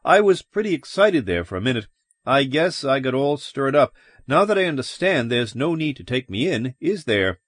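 A man's voice: medium pitch, a little slow, no room sound, voice pushed up. A male narrator voicing an agitated, bewildered ordinary man in a dramatic story reading. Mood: relieved, nervous. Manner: urgent, pleading, excitable.

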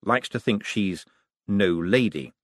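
A man voicing a wary young male con man with a dry, measured way of talking. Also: fairly low pitch, expressive, dry recording. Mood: sardonic.

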